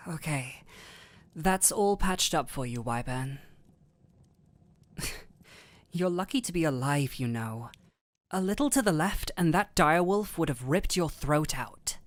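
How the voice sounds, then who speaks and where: A woman playing a gentle, caring physician in a fantasy audio drama, patient and soothing, with a softly teasing touch. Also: low voice, animated, takes it slowly, long pauses, no echo. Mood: mildly scolding. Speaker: audio roleplay voice actor. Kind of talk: intimate scripted audio roleplay.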